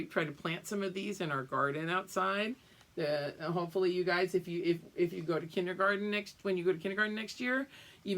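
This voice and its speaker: Older woman teacher with a low voice. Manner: simple explanations addressed to small children, with check-ins. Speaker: kindergarten or preschool teacher. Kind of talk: read-aloud lesson for young children.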